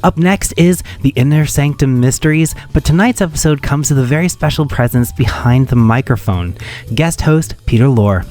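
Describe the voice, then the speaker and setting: A man's voice: mid-range voice, moderate pace, a little mellow. A man hosting a radio show, reading a scripted introduction. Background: music.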